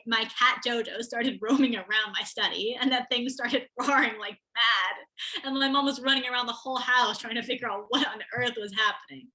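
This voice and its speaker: An eager teenage girl answers interview questions in a very bright, clear voice. She speaks articulately and keeps a lively, enthusiastic tone, laughing now and then. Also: a little high, poor audio. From America, Connecticut. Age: teens. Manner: eager, articulate, laughs while telling stories. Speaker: interview guest, student.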